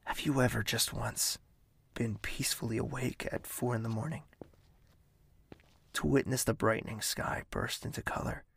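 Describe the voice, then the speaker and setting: An actor playing a melancholic, lovelorn insomniac in a fantasy audio drama, narrating pensively in a hushed, slightly husky voice. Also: male voice, medium pitch, fairly even intonation, normal speed. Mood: pensive, wistful. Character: melancholic lovelorn insomniac man of a royal castle.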